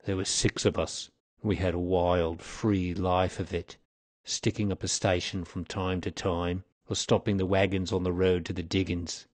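A man's voice: sits low, flat delivery, normal speed, dry recording. A man voicing a weary, grave old man confessing his past in an audiobook story. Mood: grim reminiscence. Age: older. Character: weary, dying old man, confessed former highway robber.

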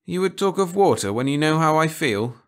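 A vain, hard-drinking film star, played by a man in an audiobook story. He is self-pitying and plaintive, his pitch lifted. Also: animated, brisk, natural voice. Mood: nervous.

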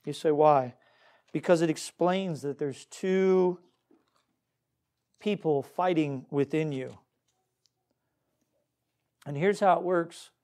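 A man's voice: on the high side, slow, pauses often, darkish tone. A man preaching as a pastor, earnest and exhorting, reading scripture and putting questions to his listeners. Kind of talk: Baptist sermon with scripture reading.

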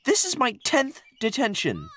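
A man's voice: very high-pitched, wildly swooping pitch, normal speed, sharp tone, heavily acted. A man playing an anxious, fed-up teenage schoolboy in an audio drama, protesting in exasperation.

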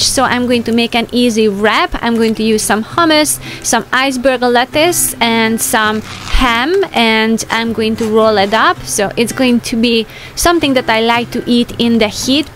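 A woman in her thirties narrating a homemaking vlog in a relaxed, chatty voice-over with fillers and light laughs. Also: on the high side. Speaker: homemaking vlogger.

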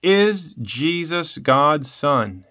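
A stern, proud Roman emperor from a storybook, acted out by a man reading aloud. He speaks in drawn-out, emphatic phrases and commands everyone before him. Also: on the high side, big pitch swings, pushed and bright, voice pushed up, heavily acted. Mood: indignant.

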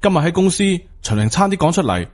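Man voicing a pleading young disciple, raised pitch. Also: very animated, fast talker. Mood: earnest, worried. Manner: pleading, reasoning, deferential. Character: earnest, respectful young male Taoist disciple.

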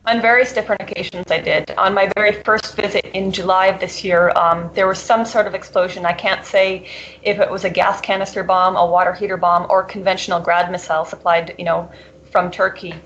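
A woman's voice: lowish voice, fairly even intonation, brisk, a bit bright. A woman answering interview questions earnestly, often restarting and filling with "you know". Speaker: interview guest reporting from abroad. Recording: dull lo-fi audio.

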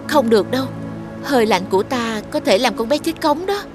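A woman voicing a caring, composed ice enchantress with motherly authority in an animated fairy tale. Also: fairly high pitch, fairly quick, music in the background, close to an everyday voice. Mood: concerned.

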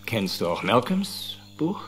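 A male actor playing a calm, kindly middle-aged paleontologist in a film dub, speaking in a measured, quietly dry way. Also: mid-range voice, a little hiss or hum. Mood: dry, appreciative. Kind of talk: German film dubbing dialogue.

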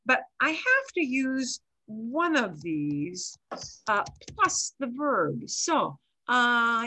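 Bright-voiced older woman teaching Spanish online. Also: medium pitch. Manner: mixes Spanish and English, explains patiently with asides. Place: home video call. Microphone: headset.

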